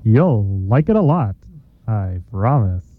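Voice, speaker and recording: A man's voice: lowish voice, sing-song, takes it slowly. Guy doing college radio announcements, thin phone-like sound. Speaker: radio announcer and sketch narrator. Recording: thin telephone-like old radio recording.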